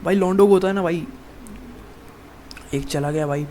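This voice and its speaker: A man's voice: high-pitched, normal speed, mellow, a little hiss or hum. A man of twenty-one giving a casual, rambling monologue as a fitness vlogger, calling the viewer "bro" over and over and restarting his sentences. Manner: rambling, addresses viewer as "bhai" constantly, many restarts.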